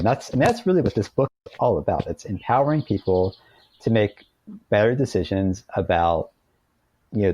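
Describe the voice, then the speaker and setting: A man's voice: low-pitched, warm tone. A middle-aged American man speaking as a guest author in a conversational interview, explaining things earnestly. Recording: dull lo-fi call audio, roomy echo.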